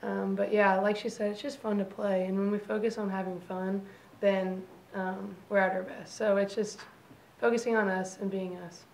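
A young woman college basketball player answering press questions at a table mic, calm and measured with many ums. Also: medium pitch, vocal fry, reverberant, slight background noise, poor audio. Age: young adult. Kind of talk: post-game press conference answers. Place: press conference room. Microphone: table microphone.

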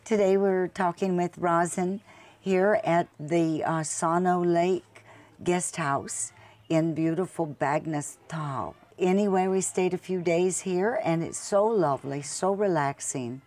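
A woman's voice: fairly low pitch, slow. A woman in her sixties hosting a travel show, talking chattily and enthusiastically with a guest at a garden table outdoors. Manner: gentle, chatty, warmly enthusiastic. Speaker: travel vlog host. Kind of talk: travel narration and casual interview.

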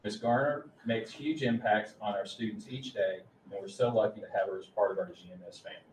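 A man's voice: lowish voice, brisk, a little mellow. A middle-aged man speaking as a school official, making formal announcements and reading aloud in a large meeting room. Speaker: school official presenting staff and student awards. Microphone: distant room microphone. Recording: roomy, distant mic, faint noise.

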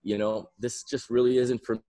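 A middle-aged man from the American Midwest with an American accent, telling stories casually as an interview guest, rambling and pausing mid-thought. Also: medium pitch, little pitch movement, dark tone. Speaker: interview guest, winery founder. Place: indoor room at home, on a video call.

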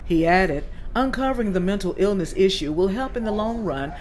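A woman's voice: mid-range voice, lively intonation, a little fast, darkish tone. Woman reporter reading scripted news.

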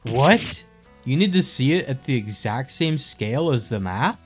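A man's voice: mid-range voice, expressive, a little slow, faint music behind, close to an everyday voice. A man voicing a young wandering warrior in a comic dub, easily startled, sarcastic and exasperated in a casual way. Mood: incredulous. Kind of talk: animated comic dub acting.

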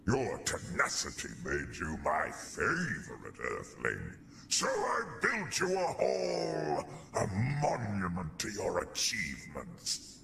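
An actor playing a pompous, decadent alien emperor in a video game, with a gravelly, booming, theatrical voice that slides down to whispers as he savors his words. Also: wildly swooping pitch, takes it slowly, a crowd in the background, full character voice. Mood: fond. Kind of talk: video game cutscene dialogue.

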